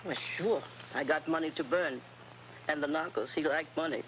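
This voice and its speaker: A woman's voice: low-pitched, moderate pace, natural voice. A woman playing a flirtatious, carefree informant, coaxing and playful in broken English, in 1950s-style television drama. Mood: casual, carefree. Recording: dull, hissy old TV soundtrack.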